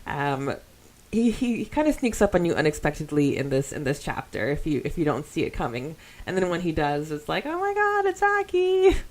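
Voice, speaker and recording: A woman's voice: low-pitched, sing-song, brisk. A woman co-hosting a casual fan podcast, reading out listener comments and reacting aloud in an informal, conversational way. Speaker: podcast co-host.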